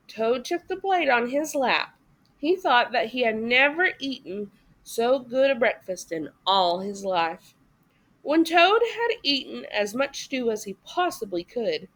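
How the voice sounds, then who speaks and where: A woman reading a story aloud for a classroom as an expressive children's narrator. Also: a little high, low-quality recording.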